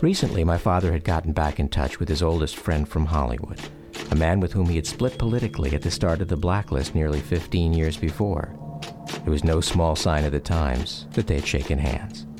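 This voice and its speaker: An actor playing a grown son who gravely and reflectively narrates his hounded father's story in a radio drama, with an American accent. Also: very deep voice, brisk. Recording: radio drama with music behind.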